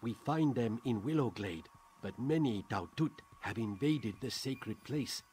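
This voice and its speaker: An actor playing a cryptic, mystical alien shaman who speaks in riddles and halting broken phrases. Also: mid-range voice, animated, a little mellow, breathy edge.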